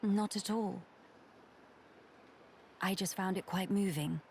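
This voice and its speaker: An actress playing a gentle, regal young imperial heiress in a video game, with a formal, stately delivery. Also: female voice, medium pitch, takes it slowly, creaky, slight background noise. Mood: warm, reflective. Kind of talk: video game cutscene acting.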